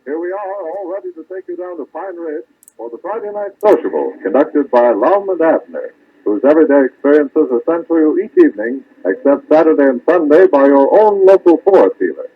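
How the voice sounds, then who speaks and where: A man's voice: fairly high pitch, very animated, fairly quick, husky. A male announcer delivering a scripted 1930s radio introduction and sponsor message. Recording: dull, lo-fi 1930s radio recording.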